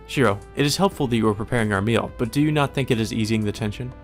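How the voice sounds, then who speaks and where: A man voicing a formal, stern female warrior in a visual novel, with stiff, measured phrasing. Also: medium pitch, faint music behind, lightly acted. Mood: earnest, formal.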